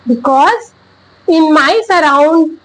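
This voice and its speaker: A woman with an Indian accent whose first language is Chhattisgarhi, talking casually to camera about learning English. She speaks haltingly, searching for words, in simple encouraging sentences. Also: sits high, expressive, fairly bright tone, low-quality recording.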